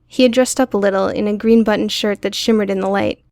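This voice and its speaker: A woman's voice: mid-range voice, fairly even intonation, fast, creaky, darkish tone, no echo. A woman reading scripted fiction as an audiobook narrator.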